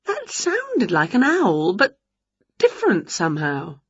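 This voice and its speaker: A woman voicing an excitable, greedy, scatty woman from a children's storybook, gushing and exclaiming. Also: medium pitch, slow pace, slightly rough, no echo, lo-fi sound, full character voice. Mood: wondering.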